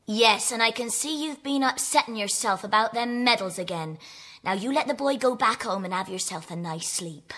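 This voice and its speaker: A homely english housekeeper, bit high, bossy but kind, fusses over everyone. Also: female voice, normal speed, fairly bright tone. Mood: fussing, firm. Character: fussing, kindly housekeeper of an old general.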